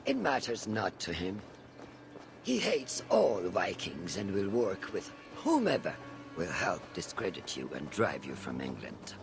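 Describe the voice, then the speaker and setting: An actor playing a seasoned older assassin mentor in a video game, shrewd and patient, speaking in measured, formal, archaic phrases. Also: male voice, low-pitched, sing-song, vocal fry, noisy background, heavily acted. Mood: grave. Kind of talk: dramatic video game dialogue.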